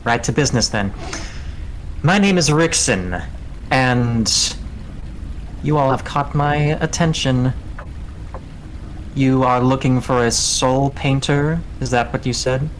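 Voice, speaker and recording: A man voicing a courtly, self-assured ratman plague cultist who speaks in formal, measured phrases for a tabletop game. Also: medium pitch, slight background noise, natural voice, low-quality recording. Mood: businesslike, devout.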